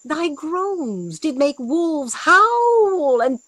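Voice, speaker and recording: Woman doing bossy sorceress master in a shakespeare audio play, theatrical, big pitch swings, really dry close sound. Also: very animated, a bit bright, dry recording, exaggerated voice. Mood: angry, scolding. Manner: theatrical, deliberate, scolding. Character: commanding, imperious sorceress master, quick to scold. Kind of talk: Shakespearean radio play acting.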